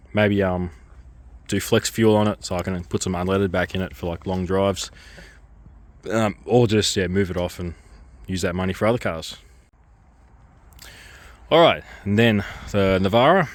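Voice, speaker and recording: A man vlogging casually about his cars, a bit hoarse from a starting cold, rambling with lots of ums and restarts. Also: low-pitched. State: getting a cold, losing his voice. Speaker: car vlogger. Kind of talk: casual walkaround vlog.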